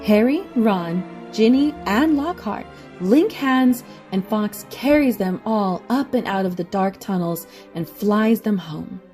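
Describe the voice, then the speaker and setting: A woman's voice: mid-range voice, expressive, slow pace, a little mellow, soft background music. A woman reading scripted story narration for an audio drama.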